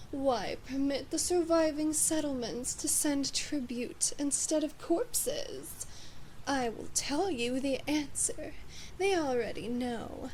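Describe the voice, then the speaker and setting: Woman playing a slow, high-voiced haughty demon queen. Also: a little mellow, slight background noise, low-quality recording. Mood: haughty disdain. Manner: imperious, measured, condescending, drifting into breathy whispers. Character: haughty, domineering female demon queen addressing her human knight. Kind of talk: ASMR roleplay, scripted monologue.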